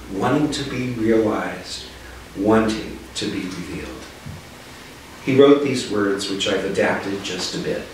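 A minister in his sixties giving a reflective sermon in a measured, solemn, deliberate way. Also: on the low side. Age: 60s. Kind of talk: reflective sermon, read from notes. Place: living room with small seated group. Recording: echoey living room, distant mic, faint noise.

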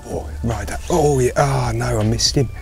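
A man's voice: medium pitch, normal speed, gravelly. A British man in his thirties giving casual, chatty fishing commentary on a wooded riverbank. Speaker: angler presenting a fishing video. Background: music.

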